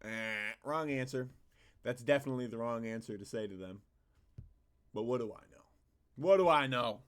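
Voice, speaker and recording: A man's voice: medium pitch, normal speed, smooth. A young adult man hosting a reaction video, sarcastic and exasperated, swearing often. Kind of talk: casual reaction commentary.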